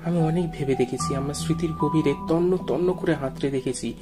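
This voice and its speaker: A man narrating a first-person ghost story in a brooding, reflective, measured way. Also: fairly high pitch, over loud music.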